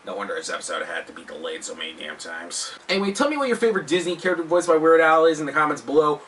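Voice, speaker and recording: A bright-voiced man hosts a countdown video with animated, self-deprecating commentary. His pitch swings widely and sits a little high. He sounds somewhat distant from the microphone. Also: very fast, a little hiss or hum. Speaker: host of a video countdown show. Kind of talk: scripted video commentary with jokey asides.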